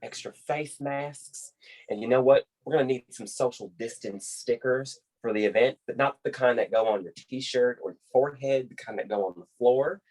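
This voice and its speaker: A man's voice: mid-range voice, moderate pace, darkish tone, natural voice, rough recording. Man playing a folksy, rambling, upbeat American boss. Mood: effusive. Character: folksy, upbeat, rambling male boss running a conference call. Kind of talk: comedy web series acting.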